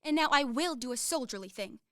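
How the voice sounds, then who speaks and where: A woman's voice: high-pitched, lively intonation, fairly quick, sharp tone, no room sound, clean studio sound, heavily acted. A woman acting in a radio play as a proud, romantic young lady of a wealthy family, lofty and declamatory. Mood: brisk, encouraging.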